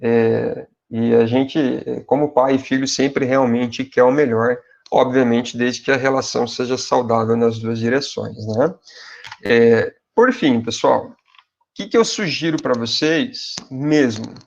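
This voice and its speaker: A man in his forties with a Brazilian Portuguese accent, a university professor giving conversational advice to graduate students. He speaks straight to his viewers and keeps tagging his sentences with quick checks for agreement. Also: medium pitch, fairly even intonation, gravelly, dull audio. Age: 40s. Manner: speaks directly to viewers as "pessoal".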